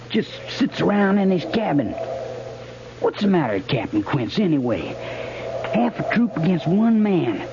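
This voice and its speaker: An American actor playing a sheepish, grumbling young trooper with a folksy way of talking in a 1950s radio western. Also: male voice, on the high side. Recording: muffled, noisy 1950s radio recording.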